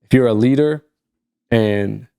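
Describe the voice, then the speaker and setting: Gravelly, mellow-voiced man co-hosting. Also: mid-range voice, a little slow. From Egypt. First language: Arabic. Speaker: podcast co-host. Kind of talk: casual podcast chat.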